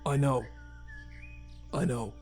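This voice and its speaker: A man playing a remorseful, haunted skeleton scientist and father, speaking formally and haltingly with earnest care in a fan comic dub. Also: medium pitch, a little mellow, faint music behind, natural voice. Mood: subdued, guilty.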